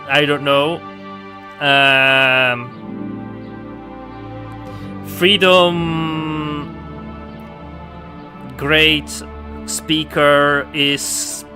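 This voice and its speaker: A man with a Dutch accent, chatting casually while streaming a game, giggly and hesitant with many ums. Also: a little high. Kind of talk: casual gameplay commentary.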